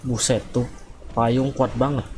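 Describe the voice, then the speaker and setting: A man's voice: medium pitch, little pitch movement, normal speed, a little mellow, soft background music. A teenage male from Indonesia, giving terse, slangy, casual gameplay commentary. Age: teens. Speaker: gaming video creator.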